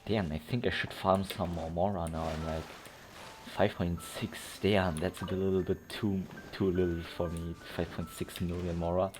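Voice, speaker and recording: A man's voice: deep voice, little pitch movement, moderate pace, faint music behind. A man giving casual let's play commentary, rambling and thinking aloud as he plays. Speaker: game streamer.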